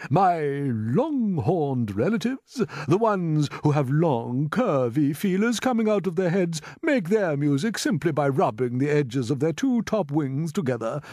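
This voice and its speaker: A man's voice: a little high, darkish tone, no echo, clean studio sound, voice pushed up. A man voicing a genial, proud old green grasshopper musician from a storybook, avuncular and emphatic, drawing out his words. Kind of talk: storybook character voice.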